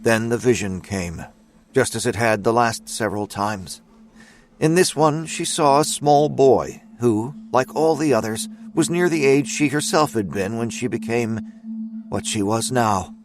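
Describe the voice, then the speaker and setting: A man's voice: mid-range voice, measured pace, lightly acted. A man narrating a scripted audio drama as its storyteller.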